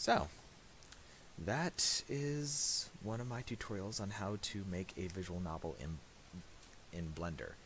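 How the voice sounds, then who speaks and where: Low, slow man giving a halting tutorial. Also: fairly bright tone. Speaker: tutorial presenter. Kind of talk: casual screen-recorded software tutorial. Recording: hissy home recording with clicks.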